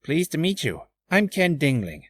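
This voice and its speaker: A man's voice: fairly high pitch, wildly swooping pitch, no echo, voice pushed up. Man acting a composed, courteous circus acrobat, dry sound. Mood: calm. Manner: courteous, measured. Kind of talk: character voice reel, game acting.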